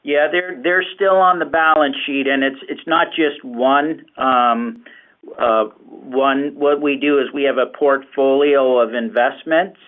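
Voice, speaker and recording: A man speaking as a finance executive answering questions on an earnings call, explaining haltingly and repeating words. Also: medium pitch, steady tone. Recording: phone-like, narrow conference call line.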